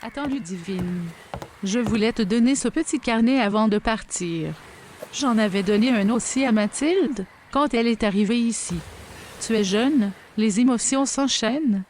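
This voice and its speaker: A woman's voice: a little high, moderate pace, darkish tone, slight background noise, close to an everyday voice. A woman playing a kindly, advising overseer of a young captive in an audio drama, reassuring and instructive.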